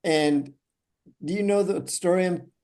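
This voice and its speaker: A man's voice: high voice, measured pace, a little mellow. A man hosting a podcast, steering the casual chat and eagerly prompting the others with questions. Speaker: podcast host.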